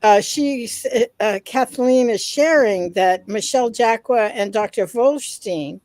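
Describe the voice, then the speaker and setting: A woman's voice: on the high side, unhurried, darkish tone, no echo, studio quality. A woman co-hosting a casual online panel, enthusiastic as she reads out viewer comments. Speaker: webinar co-host.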